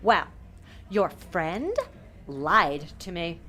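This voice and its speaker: A woman performs a nasty beauty pageant queen in a comedic audio drama. She is haughty and sneering, with slow, drawn-out phrasing that swoops widely in pitch. Her talk is sprinkled with "like". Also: animated, bright, forceful tone, exaggerated voice. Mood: disdainful. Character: snobbish, nasty female beauty pageant contestant.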